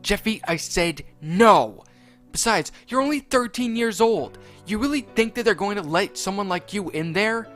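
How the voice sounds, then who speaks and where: A man's voice: high voice, expressive, moderate pace, faint music behind, pitched above the performer's own voice. A man playing a screaming angry dad. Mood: exasperated. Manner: yelling. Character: hot-tempered father, yelling and scolding.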